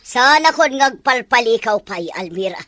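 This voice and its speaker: A woman's voice: fairly high pitch, expressive, moderate pace, fairly bright tone, dry recording. A woman playing a domineering, haughty rich mother in a melodramatic audio drama, scolding and imperious. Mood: threatening, disdainful. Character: domineering, haughty rich mother pushing her son to marry. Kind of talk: melodramatic radio-style drama acting.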